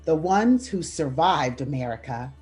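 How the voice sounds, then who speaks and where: A woman reading a picture book aloud as a guest reader, with measured, deliberate phrasing. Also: low-pitched, lively intonation, a little mellow. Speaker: school principal, guest reader. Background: background music.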